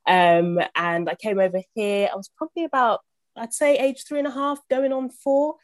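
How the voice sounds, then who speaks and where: A woman's voice: a little high, fairly even intonation, normal speed, no echo, clean studio sound. A woman, chatting animatedly as a podcast guest, laughing often. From born in Uganda, raised in Southeast London. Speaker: podcast guest, property investor. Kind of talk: casual interview chat.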